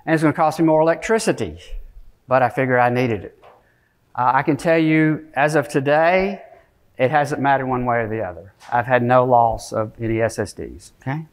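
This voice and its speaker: A man's voice: mid-range voice, moderate pace. A middle-aged man giving an informal technical keynote in a conversational manner. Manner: conversational, frequent tag questions like "okay?" and "right?"; frequent throat clearing. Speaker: conference keynote speaker. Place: conference stage, speaking from a podium area to an audience.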